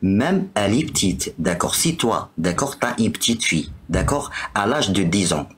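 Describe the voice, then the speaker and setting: Halting lower-voiced man, native Arabic speaker. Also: very animated, slight background noise. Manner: halting, keeps asking "d'accord?". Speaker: caller. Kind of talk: live call-in debate.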